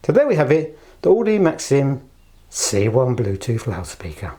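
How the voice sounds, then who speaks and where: A man with a mellow voice that is slightly husky presents a product in a casual, chatty style. His pitch moves around in a lively way at a middle height, and he stays polite throughout, speaking to a handheld camera. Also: normal speed. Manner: polite, chatty, fond of understated superlatives. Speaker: product presenter for an audio shop. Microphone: handheld camera held in one hand.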